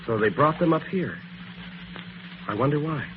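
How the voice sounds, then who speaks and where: Clear hiss and a muffled old radio tone surround a man acting as a good-natured detective's sidekick. His voice sits a bit high, and he sounds eager, boyish and easily puzzled, in classic radio-serial style. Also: animated, normal speed, natural voice. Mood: curious.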